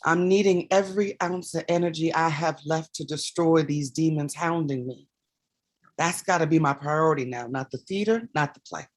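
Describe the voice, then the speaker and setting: A woman's voice: low voice, moderate pace. A woman playing a weary, proud, commanding mother in a staged play reading. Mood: weary, irritated. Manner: blunt, commanding. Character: weary, proud mother, playwright at odds with her demons.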